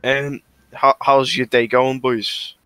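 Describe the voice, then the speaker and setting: A man's voice: medium pitch, level tone, slow pace, pushed and bright, clean tone, no echo, rough recording. A British man playing a mouthy suspect under arrest in an online roleplay game, blunt, slangy and mocking. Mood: casual. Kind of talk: casual online police roleplay improvisation.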